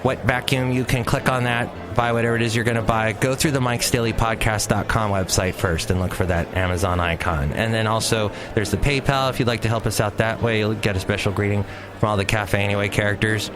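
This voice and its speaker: A man's voice: fairly low pitch, fairly even intonation, fairly quick, over music. A man hosting his own podcast in a rambling, jokey monologue with playful asides. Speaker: podcast host. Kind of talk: casual solo podcast monologue.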